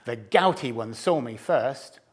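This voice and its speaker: Sly bragging fox read by a guy, slow and savoring, voice goes up and down a lot. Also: mid-range voice, animated. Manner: relishing, theatrical, savouring food words. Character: sly boastful old male fox storyteller. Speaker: storyteller to cubs. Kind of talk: storybook narration.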